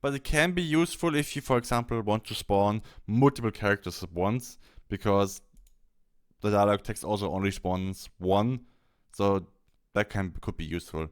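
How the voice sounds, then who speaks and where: A man presenting a software tutorial, explaining step by step in a halting instructional walkthrough. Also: lowish voice, clear, resonant tone. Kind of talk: instructional screen-capture walkthrough.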